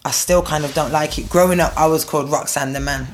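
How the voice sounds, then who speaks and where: A woman's voice: deep voice, fairly even intonation, fast talker, slight background noise. A woman who raps, answering interview questions in a frank, defiant, casual way. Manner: frank, defiant, laughs easily. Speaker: rapper being interviewed.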